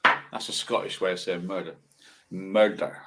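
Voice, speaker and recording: A man in his sixties giving a casual, rambling monologue, musing haltingly and asking rhetorical questions. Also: low voice, fairly even intonation, very noisy. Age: 60s. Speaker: video monologue host.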